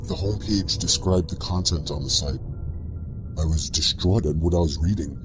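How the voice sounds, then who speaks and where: A man's voice: very deep voice, normal speed. A man reading a scripted first-person scary story as a narrator, with music playing behind him.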